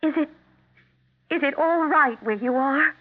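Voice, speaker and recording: A woman's voice: high-pitched, measured pace. An actress playing a nervous, hesitant, easily frightened woman in a 1970s radio drama. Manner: hesitant, anxious. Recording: muffled, narrow-band 1970s radio broadcast.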